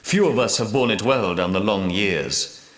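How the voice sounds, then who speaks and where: Solemn ancient knight, low and echoing. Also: male voice, measured pace, fairly bright tone. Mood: measured, regretful. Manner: grave, formal, portentous. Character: solemn ancient knight leader of holy Grail guardians. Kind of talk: video game dramatic dialogue. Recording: roomy echo, distant from mic.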